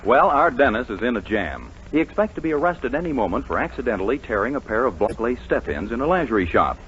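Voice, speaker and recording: Old time radio announcer guy, lively swooping delivery, bit muffled and hissy. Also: mid-range voice. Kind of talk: scripted comic recap narration. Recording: dull 1940s radio recording, faint hiss.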